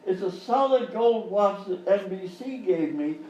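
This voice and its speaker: An actor playing a flustered, falsely cheery children's TV host who stammers and hems, in a live radio-style comedy sketch. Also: male voice, sits high, animated, unhurried, a little mellow, muffled recording. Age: middle-aged or older. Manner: stammering, hemming and hawing, exaggerated. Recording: roomy live stage, distant mic, faint noise.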